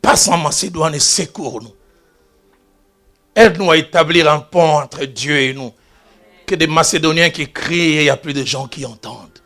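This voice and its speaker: A pastor preaching to his congregation, a man with a bright, ringing voice. He tells his stories by dropping to hushed asides and then rising to loud exclamations. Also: a little high, normal speed. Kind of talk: sermon. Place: church.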